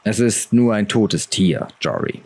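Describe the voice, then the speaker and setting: Man acting a stern middle-aged lord, grave, measured, low voice, fantasy storybook. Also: very animated, creaky, a little mellow, breathy edge, exaggerated voice.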